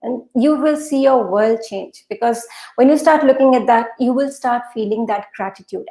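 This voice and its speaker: Female motivational coach, native Urdu speaker, slightly high voice, emphatic and instructive, recorded in an echoey room. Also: a little mellow. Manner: emphatic, instructive, repeats phrases. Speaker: podcast guest, mindset coach. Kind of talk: conversational motivational advice.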